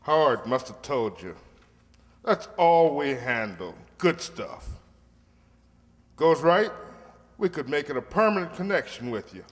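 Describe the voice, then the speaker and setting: A man's voice: a little high, big pitch swings, a little slow, pauses often. A man playing a cocky drug dealer with a persuasive, chummy manner in an old-time radio crime drama. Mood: businesslike. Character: smooth-talking male drug dealer, placating and cocky. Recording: echoey hall.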